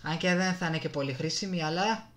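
A man with a Greek accent doing casual live gameplay commentary, excitable, exclaiming and muttering to himself into a desktop gaming microphone. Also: fairly high pitch. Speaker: gaming YouTuber.

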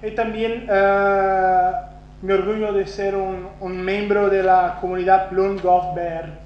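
Portuguese-speaking Brazilian man at a conference, high-pitched, self-deprecating, many restarts, echoey room. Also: little pitch movement, takes it slowly. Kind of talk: conference talk. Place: conference hall. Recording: roomy, dull lo-fi sound with faint hum.

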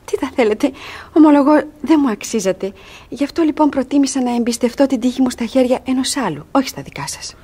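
An actress playing a bold young runaway ward in a classic radio comedy, pleading yet proud and theatrical. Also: female voice, high voice, lively intonation, warm tone, breathy edge. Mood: defiant.

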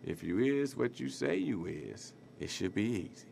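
A swaggering American hustler for a video game. His voice sits low with a heavy fry, and he talks in smooth, flirty slang. There is faint noise behind him. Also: animated, normal speed, darkish tone. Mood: warning, cocky. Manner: slangy, cocky smooth talk. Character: swaggering, flirtatious male street hustler and crime partner. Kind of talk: video game cutscene acting.

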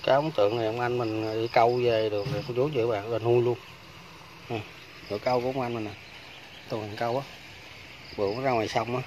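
A man's voice: medium pitch, steady tone, quick pace, long pauses, noisy background. Chatty man touring a farm. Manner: chatty, keeps addressing viewers as aunts, uncles and friends. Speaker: vlogger giving a farm tour. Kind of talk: casual walking tour narration. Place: outdoors on a rural farm by fish ponds.

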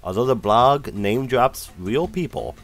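A man's voice: mid-range voice, animated, measured pace, faint music behind. A man giving dry, skeptical scripted narration. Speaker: debunking narrator.

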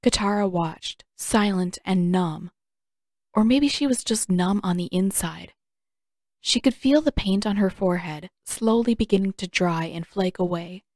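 A woman's voice: medium pitch, unhurried, a little mellow, no echo, studio quality. A woman reading scripted audiobook fiction as the narrator.

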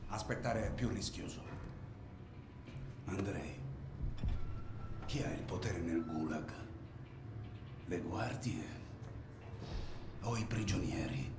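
A man playing a cold, commanding paramilitary leader in a military game, deliberate, controlled and menacing. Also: low voice, echoey room, background noise. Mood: quietly menacing. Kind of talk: cinematic military game acting.

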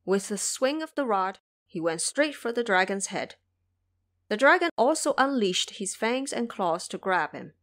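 A woman's voice: medium pitch, big pitch swings, unhurried, a bit bright. A woman giving scripted, dramatic narration of a story as the narrator of an audio drama.

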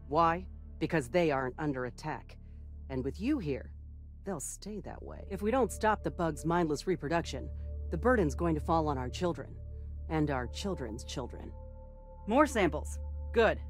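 An actor playing an earnest, zealously patriotic military ship master in a video game, briefing soldiers with crisp, dutiful conviction. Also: medium pitch, natural voice. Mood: grave, matter-of-fact, then welcoming, helpful. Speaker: starship officer briefing soldiers. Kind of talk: scripted video game character dialogue.

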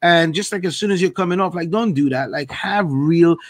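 A man's voice: fairly high pitch, brisk, no echo, clean studio sound. A man from Queens, a guest on a live video chat, talking emphatically with many "like"s. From Queens, New York. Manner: emphatic, lots of "like", drawn out.

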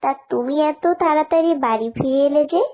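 Lady doing a worried cartoon mom, really high voice, fond but naggy. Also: fairly quick, a little mellow. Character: caring, fretful animal mother and wife. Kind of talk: cartoon character acting.

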